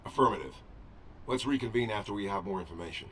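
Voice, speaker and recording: A man's voice: fairly low pitch, animated, fast, a bit bright, recorded from a distance, a little hiss or hum. A man voicing a dutiful, cautious covert operative in a video game, speaking formally and deferentially as a loyal subordinate. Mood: obedient.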